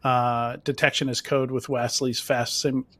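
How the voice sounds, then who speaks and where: A man's voice: medium pitch, flat delivery, unhurried, a bit bright, no room sound. Filler-heavy male webcast moderator. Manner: rambling, filler-heavy questions. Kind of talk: casual panel moderation.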